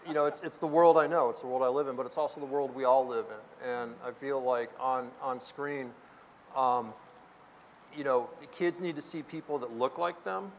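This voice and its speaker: A man talks from a panel stage, earnest and searching for words, often starting a phrase twice. His voice sits in the middle range and carries a long hall echo. A faint hiss sits under it. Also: mid-range voice. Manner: earnest, halting with restarts. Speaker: film director at a press panel. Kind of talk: candid panel answers. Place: stage of a large event hall. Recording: echoey hall with faint noise.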